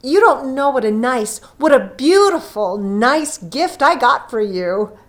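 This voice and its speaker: A woman's voice: high-pitched, expressive, measured pace, pitched above the performer's own voice. A woman voicing an eager, loving young wife in a storybook reading, emphatic and pleading. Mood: anxious cheer.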